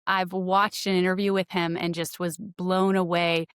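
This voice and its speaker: A woman's voice: lowish voice, level tone, measured pace, smooth, no echo, studio quality. A woman hosting an interview podcast in a conversational, enthusiastic and appreciative way. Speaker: podcast host.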